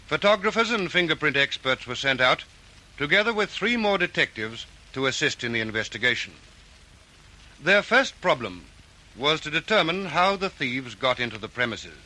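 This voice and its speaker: Casting: Australian case narrator for radio detective serial, crisp, sharp, forceful delivery. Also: male voice, on the high side, sing-song, normal speed, heavily acted, low-quality recording. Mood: crisp, factual. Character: brisk male narrator of a police case.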